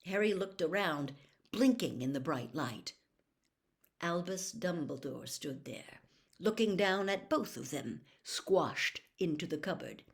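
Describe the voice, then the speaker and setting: A woman narrating a children's fantasy novel in an expressive read-aloud style. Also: lowish voice, measured pace. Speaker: audiobook narrator.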